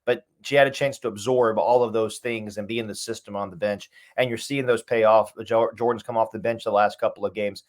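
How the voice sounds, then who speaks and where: Fast-talking man giving sports analysis. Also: fairly low pitch, fairly even intonation. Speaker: radio play-by-play announcer interviewed as guest.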